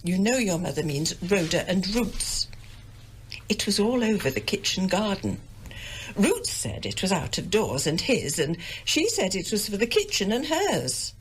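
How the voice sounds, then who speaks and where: A woman's voice: mid-range voice, very animated, unhurried, breathy edge. Fussy, swooping older English mother. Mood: self-pitying, reproachful. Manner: querulous, haughty, melodramatically aggrieved. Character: fussy, self-pitying, domineering middle-class English village mother. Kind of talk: radio drama acting.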